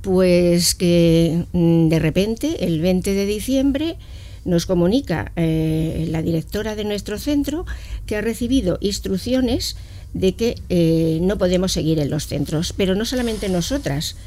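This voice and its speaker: Earnest woman explaining with many pauses. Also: lowish voice, dark tone. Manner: earnest, explanatory, with frequent hesitations. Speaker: association representative. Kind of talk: radio studio interview. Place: radio studio.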